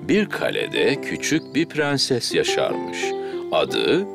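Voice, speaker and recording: A man's voice: on the low side, takes it slowly, gravelly, exaggerated voice. A man voicing a big, jolly, easygoing father pig in a children's cartoon, hearty and a little boastful. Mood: storytelling, proud. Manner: hearty, relaxed, theatrical. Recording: music behind the voice.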